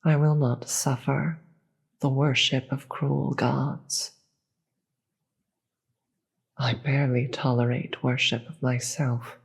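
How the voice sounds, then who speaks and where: A performer voicing a proud, imperious demon queen in a fantasy audio roleplay, deliberate and theatrical, with a breathy voice and contempt for the gods. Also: mid-range voice, flat delivery, exaggerated voice. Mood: scornful, resolute. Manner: deliberate, theatrical, grandiose speech with dramatic pauses.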